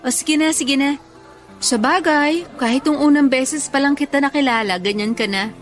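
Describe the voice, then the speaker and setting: An actress playing a tender young teacher who cares for her parents, speaking softly and earnestly in a Tagalog radio drama. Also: high-pitched, normal speed, faint music behind, close to an everyday voice. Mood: teasing, fond. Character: tender young woman teacher, tired devoted caregiver daughter.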